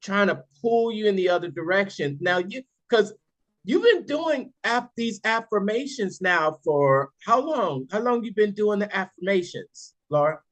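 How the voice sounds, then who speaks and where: Slow, encouraging host on a call. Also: medium pitch, lively intonation. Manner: encouraging, affirming, drawn-out delivery. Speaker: host of sales practice call. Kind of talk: casual group call. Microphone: video call.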